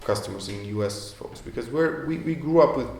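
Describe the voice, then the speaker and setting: A man's voice: mid-range voice, lively intonation, a little fast, vocal fry, darkish tone, a little hiss or hum. A man answering questions as a guest entrepreneur in a live interview, speaking with many fillers and restarts. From Romania. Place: event room. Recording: echoey room with faint ambience.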